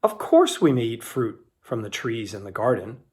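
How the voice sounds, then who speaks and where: A man's voice: mid-range voice, very animated, normal speed, a little mellow, breathy edge. A man voicing an earnest, defensive woman in a Bible story, explaining herself plainly.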